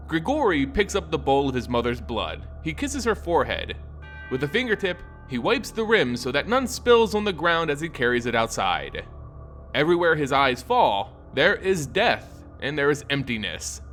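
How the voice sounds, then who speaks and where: A man narrates dark fantasy fiction in a slightly high voice that rises and falls widely. His delivery is solemn and theatrical, with deliberately placed phrases. Faint music runs behind him. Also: big pitch swings. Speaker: story narrator. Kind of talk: dramatic scripted fantasy narration.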